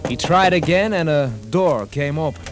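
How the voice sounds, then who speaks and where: A man's voice: a little high, moderate pace, close to an everyday voice. A man narrating a hard-boiled 1950s radio detective story in slangy storytelling style. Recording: 1950s radio recording with music behind.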